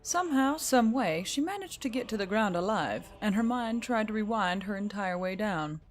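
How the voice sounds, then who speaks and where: A woman's voice: medium pitch, animated, normal speed, faint music behind. A woman reading scripted fiction as a storyteller, in a dramatic narrating style.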